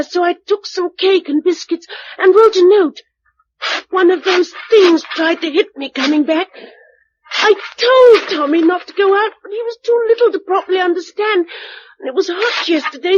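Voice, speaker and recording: A woman's voice: very high-pitched, lo-fi sound. An actress playing a brave, matter-of-fact nine-year-old English girl in a radio drama, plain-spoken and earnest.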